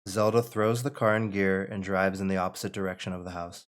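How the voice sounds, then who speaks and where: A man with a fairly low voice narrates a screenplay at a table read. He reads out the scene headings and stage directions as scripted narration. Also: fairly even intonation, brisk.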